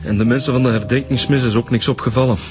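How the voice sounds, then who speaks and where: A man's voice: medium pitch, fairly even intonation, quick pace, faint music behind, lightly acted. A man playing a matter-of-fact police detective in a naturalistic radio drama, with a Flemish accent.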